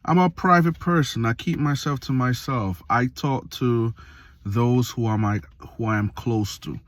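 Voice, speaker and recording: A man in his late thirties, from Jamaica, giving a reflective casual monologue for his video channel, slow and full of pauses and fillers. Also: mid-range voice, dry recording. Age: 30s. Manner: slow, pausing often with fillers. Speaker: vlogger.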